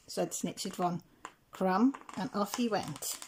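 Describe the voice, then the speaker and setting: A woman reading rhyming picture-book stories aloud in a measured, rhythmic way. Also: medium pitch, a little mellow, slight background noise. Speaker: children's story reader.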